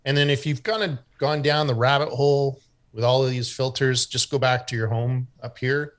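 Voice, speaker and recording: A man's voice: mid-range voice, normal speed. Canadian man explaining website SEO. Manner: relaxed and explanatory, with frequent fillers and restarts. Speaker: guest expert on website SEO. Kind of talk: explaining during an online group meeting.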